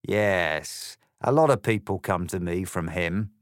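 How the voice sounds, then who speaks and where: A man voicing a smug, grinning male cat who rants pompously about politics in a loud, indignant manner. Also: low-pitched, slow pace, no echo, clean studio sound. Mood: ranting.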